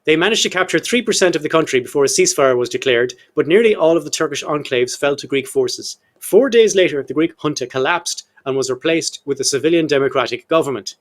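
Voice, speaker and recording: A man's voice: on the high side, animated, fast talker, hardly any pauses. A man of about fifty hosting a casual history podcast, jokey and irreverent, who swears offhandedly and breaks up long read-out quotes with his own asides. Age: 50s. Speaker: podcast host.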